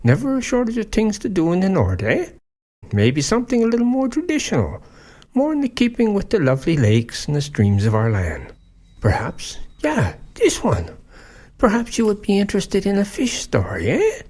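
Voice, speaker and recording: A woman's voice: medium pitch, wildly swooping pitch, normal speed, warm tone. A woman playing a coy, sly French-flavoured shopkeeper who coaxes listeners toward stories in an audio drama. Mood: coy, coaxing. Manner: coaxing, theatrical, trailing questions. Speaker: story host. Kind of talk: audio drama host narration.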